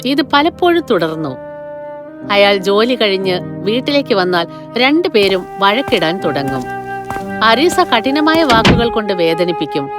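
Animated woman narrating a fairy tale. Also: medium pitch, measured pace, music in the background. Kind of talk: scripted children's storytelling.

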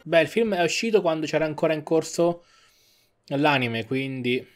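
A young man with a slightly high voice gives casual commentary on an anime dub. He talks in an informal reactor's way, with music from the show playing behind him. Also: animated, moderate pace. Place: indoor room at home. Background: music and sound of the anime playing.

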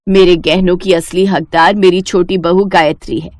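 A woman acts out an elderly mother-in-law from an animated moral tale. She is shrewd and stern, with a lowered voice and a dry, close sound. Every phrase is measured and carries authority. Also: no room sound, natural voice. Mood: approving, firm. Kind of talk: animated moral story dialogue.

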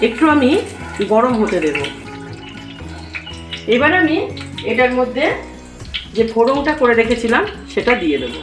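Female cooking host, higher-pitched, measured instructional voice-over in short phrases, faint music underneath. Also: big pitch swings. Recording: roomy echo, music behind.

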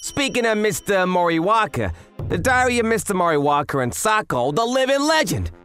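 Man voicing an excitable, swooping young gangster underling. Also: high-pitched, normal speed, soft background music. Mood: excited, wailing. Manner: excitable, exclamatory. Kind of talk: animated manga dub dialogue.

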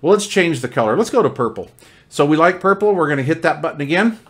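A man's voice: fairly high pitch, lively intonation, quick pace. A man talking casually through a hands-on product demonstration, explaining features step by step as a reviewer.

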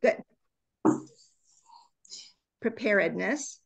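A woman teaching an online English class over a video call, reading slides slowly and patiently correcting students. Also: mid-range voice, animated, gravelly, muffled recording. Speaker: English tutor.

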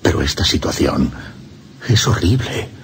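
A man's voice: rumbling low, sing-song, measured pace, full character voice. A man with a Castilian Spanish accent playing a lovesick, tormented young priest, confessing in an anguished radio drama monologue. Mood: despairing.

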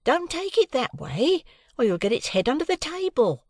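A man voicing a bossy, brisk working-class nursemaid in a Victorian novel audiobook, with a scolding manner. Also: very high voice, huge pitch swings, darkish tone, dry recording, clean studio sound, voice pushed up, full character voice.

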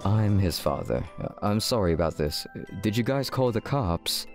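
A man's voice: fairly low pitch, sing-song, moderate pace, soft background music. A man voicing a stern, controlled, businesslike father of a teenager in a manga dub. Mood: stern, composed. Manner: formal, controlled.

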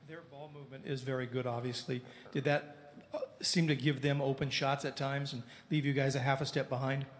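A man, a sports reporter, asking questions at a press conference in a quick, flat delivery. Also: mid-range voice, a little hiss or hum. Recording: reverberant press room.

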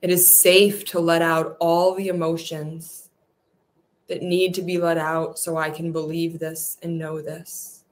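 Woman meditation guide: soft, soothing, repetitive affirmations, unhurried and even, low-set voice.

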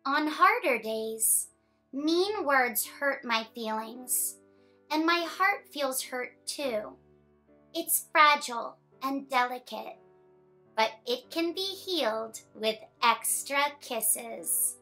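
A young woman hosting children's story time, reading a picture book aloud in an animated, expressive way. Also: sits high, slow pace, faint music behind. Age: young adult.

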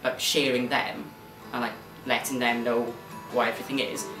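A young woman with an unusually deep voice talks in a loose, casual way. Her voice echoes around the room, and faint music plays underneath. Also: little pitch movement, moderate pace. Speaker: youth reporter volunteer. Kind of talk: documentary interview.

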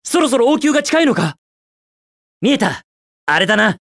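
Young video game hedgehog speedster, cocky, carefree, cool slangy quips, highly animated intonation, close dry recording. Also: male voice, normal speed, dry recording, exaggerated voice. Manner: cool, brash, slangy, quippy. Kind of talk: Japanese video game character voice lines.

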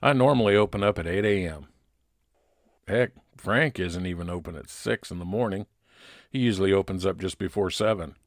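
Deep, gravelly man narrating detective fiction. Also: normal speed, dry recording, clean studio sound. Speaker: narrator playing a private investigator. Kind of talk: scripted first-person narration, old-time radio detective style.

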